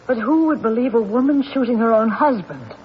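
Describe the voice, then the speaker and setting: An actress playing a bitter, ambitious widow with a dramatic, aggrieved manner in a radio mystery. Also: female voice, a little high, lively intonation, a little fast, lightly acted. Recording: dull, noisy old radio broadcast.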